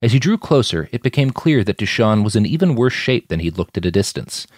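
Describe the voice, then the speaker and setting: A man's voice: fairly low pitch, sing-song, fairly quick, darkish tone, no echo, studio quality. A man reading scripted fiction as the narrator of an audiobook. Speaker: author narrator.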